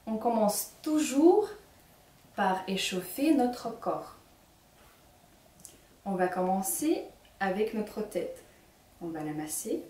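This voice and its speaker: A young woman with a Ukrainian accent leading a children's singing workshop, speaking slowly and clearly as she gives instructions. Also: medium pitch, animated, warm tone. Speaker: singing teacher. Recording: echoey room, mic at a distance.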